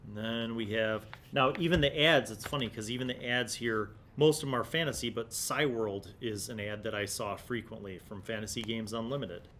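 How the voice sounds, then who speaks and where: A middle-aged man, hosting a casual, nostalgic review in a conversational way. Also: fairly bright tone. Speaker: host of old gaming content series. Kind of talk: casual vlog commentary and review. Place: small indoor studio room. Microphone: desktop condenser microphone. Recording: clear background noise, low rumble.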